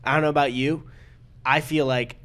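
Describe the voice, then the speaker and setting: A man with an American accent and a slightly high-set voice, talking as a podcast co-host. He chats casually and comically, rambling through his opinions and swearing freely. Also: fairly even intonation, normal speed, a bit bright, smooth. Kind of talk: casual comedic chat.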